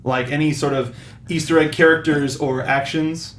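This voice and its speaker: A man hosting a casual film review panel, talking in a halting way and often restarting his phrases. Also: on the high side, fairly even intonation, fairly bright tone. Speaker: talk show host. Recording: echoey room with faint noise.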